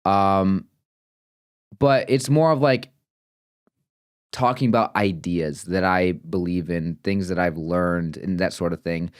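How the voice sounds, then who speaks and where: A man's voice: deep voice, slow, pauses often, smooth, dry recording, studio quality. A man of twenty-nine talking casually and earnestly to camera in a vlog, rambling with fillers and restarts. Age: 20s. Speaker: vlogger. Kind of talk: casual reflective vlog talk.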